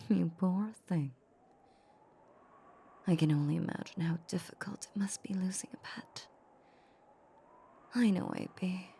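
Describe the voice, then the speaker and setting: A woman playing a possessive, seductive vampire in a roleplay audio drama, teasing her captive with menacing sweetness in a breathy near-whisper. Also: fairly low pitch, dark tone, slight background noise. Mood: teasing, mock pity.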